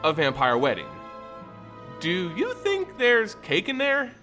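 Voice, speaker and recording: A man's voice: high-pitched, wildly swooping pitch, takes it slowly, music in the background, voice pushed up, heavily acted. A man voicing a curious, playful young woman adventurer in a fantasy story, casual and inquisitive.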